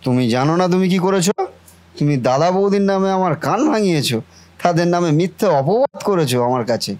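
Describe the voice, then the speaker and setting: A man's voice: high voice, expressive, fast talker, darkish tone, dry recording. A man voicing a devoted, indignant brother in a cartoon family melodrama, speaking earnestly and declaiming.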